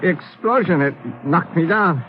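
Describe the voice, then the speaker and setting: An actor playing a dazed, bewildered foreign henchman in a radio adventure, halting and formal, with a creaky voice. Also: fairly high pitch, expressive, lightly acted. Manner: halting, formal broken delivery. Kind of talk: old-time radio adventure acting. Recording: muffled, hissy old radio recording.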